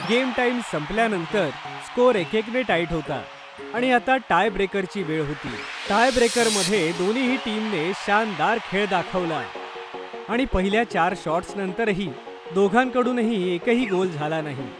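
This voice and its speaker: High-voiced man narrating a children's story. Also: expressive, normal speed, music in the background.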